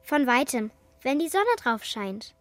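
A little girl in a children's radio play. Her voice is high, with a childlike, matter-of-fact way of doubting and imagining, and faint music sits behind it. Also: expressive, a little slow, no room sound.

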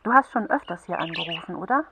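A woman acting in a children's radio play as a patient, caring helpline counsellor who questions gently and attentively. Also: on the low side, lively intonation, quick pace, creaky, background noise.

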